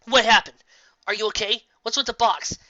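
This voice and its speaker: Testy storybook pegasus mare, male reader, no-nonsense and dry, forceful tone, close dry recording. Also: sits high, normal speed, no room sound, dull audio, voice pushed up. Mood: concerned. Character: gruff, temperamental but sensible female pegasus. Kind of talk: fan fiction storybook reading.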